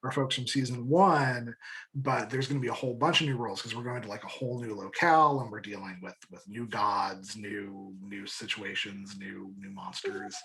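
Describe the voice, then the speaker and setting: A man's voice: lowish voice, steady tone, normal speed, gravelly, crowd noise, rough recording. A man speaking casually as an interview guest, enthusiastic and informal, often repeating words and restarting his sentences. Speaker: guest, audio drama creator.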